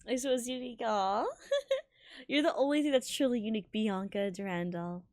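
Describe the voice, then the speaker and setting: A woman streaming a visual novel, reading it aloud in a halting, giggly way with stumbles over words. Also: fairly high pitch, a little mellow, no echo, clean studio sound. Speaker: game streamer.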